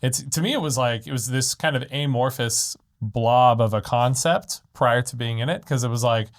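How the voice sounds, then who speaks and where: A man's voice: mid-range voice, moderate pace. Chatty man hosting a podcast. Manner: chatty, rambling with fillers and tangents. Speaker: podcast host. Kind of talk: casual conversational interview. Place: podcast studio. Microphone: studio microphone.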